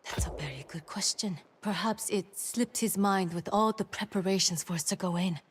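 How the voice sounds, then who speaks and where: A woman playing a dutiful female town leader in a fantasy game, speaking in a measured, formal and earnest way. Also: medium pitch, slight background noise, close to an everyday voice. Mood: thoughtful. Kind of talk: scripted fantasy game dialogue.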